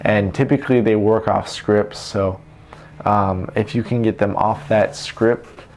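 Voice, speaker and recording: A young man giving casual, unscripted advice in an informal, halting way with trailing thoughts. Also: on the low side, a little mellow. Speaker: vlogger giving self-help tips. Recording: noticeable background noise.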